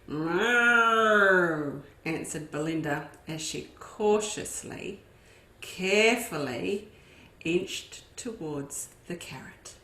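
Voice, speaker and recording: A woman's voice: sits low, slow. A woman, a children's educator, reading a farm story aloud expressively and turning to her young listeners with asides. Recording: echoey room.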